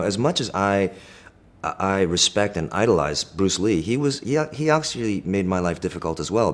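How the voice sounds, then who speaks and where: Middle-aged man, native Korean speaker, extremely low voice, measured interview answers with pauses and restarts. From Korea, raised in Pennsylvania. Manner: thoughtful, measured, many fillers and restarts.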